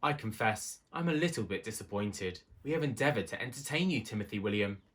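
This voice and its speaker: A man's voice: lowish voice, expressive, fairly quick, close to an everyday voice. A man voicing a well-bred, fussy male town mouse in a storybook, formal and condescending. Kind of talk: storybook character voice.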